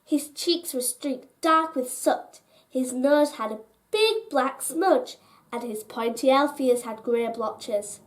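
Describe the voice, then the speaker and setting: Female narrator for children's bedtime tales: high register, very measured pace, expressive and deliberate. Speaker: storyteller reading aloud.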